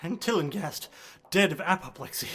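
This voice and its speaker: Man playing a high, tense, haunted asylum patient. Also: animated, normal speed, sharp tone, breathy edge, exaggerated voice. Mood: shaken, bitter. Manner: agitated, pleading, intense. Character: distraught, haunted male asylum patient pleading to be believed. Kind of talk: radio horror drama acting.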